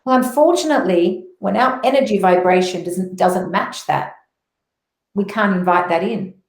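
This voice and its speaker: A roomy, echoing recording of an Australian woman in middle age with a mid-pitched voice. She shares personal spiritual testimony as a guest, telling her story in an earnest, emphatic way. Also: normal speed. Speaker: interview guest, motivational speaker. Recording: roomy echo on voice.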